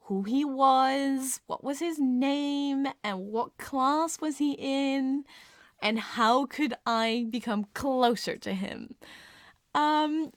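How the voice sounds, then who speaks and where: Slow, high-voiced woman telling stories. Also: a bit bright, no echo, studio quality. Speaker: ASMR storyteller. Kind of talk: ASMR storytime, casual confessional anecdotes.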